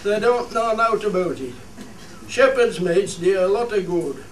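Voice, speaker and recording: A man's voice: high-pitched, animated, a little slow, a loud crowd. A man playing a proud, plain-spoken fell shepherd in a dialect radio play, speaking earnestly with a Cumbrian accent, as if making a speech. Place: studio theatre. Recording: roomy stage, distant mic, faint noise.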